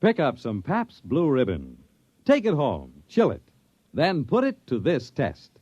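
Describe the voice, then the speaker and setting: A man's voice: medium pitch, wildly swooping pitch, a little slow, a little mellow, breathy edge, no echo. Dramatic 1950s radio announcer man. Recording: dull old radio broadcast with music.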